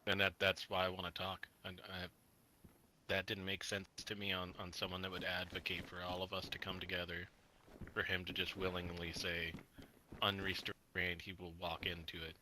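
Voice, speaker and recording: A man's voice: low voice, monotone, normal speed, sharp tone, slight background noise, poor audio. A man talking in character during a survival roleplay game, rambling and swearing as he trails off mid-thought. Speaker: roleplay player.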